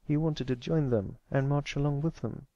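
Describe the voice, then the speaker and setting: Man voicing a shy young colt, slightly raised pitch. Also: fairly even intonation, moderate pace, no room sound, highs cut off, close to an everyday voice. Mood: gentle, reassuring. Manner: gentle, hesitant, explanatory. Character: shy, earnest young male cartoon pony, comforting a friend.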